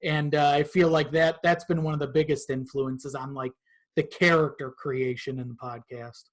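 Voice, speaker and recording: A man's voice: on the high side, steady tone, normal speed. A man talking casually as a podcast guest about his creative work. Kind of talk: casual chat.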